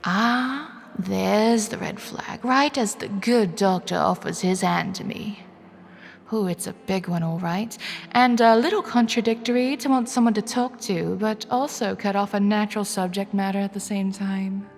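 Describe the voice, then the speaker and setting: A woman's voice: mid-range voice, a little slow, vocal fry. Sardonic, flustered young woman an actress plays in reverb. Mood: wary, resigned. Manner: wry, expressive, with sighing interjections. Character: young cash-strapped woman, sardonic, wary, inwardly flustered. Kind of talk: audio drama dialogue with inner monologue. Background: music.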